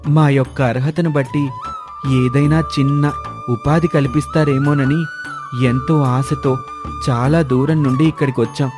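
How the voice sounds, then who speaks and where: A man's voice: mid-range voice, fairly even intonation, a little slow, over music, natural voice. A man voicing a humble, earnest young forest villager who speaks respectfully in a cartoon moral story. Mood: humble pleading. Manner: respectful, pleading.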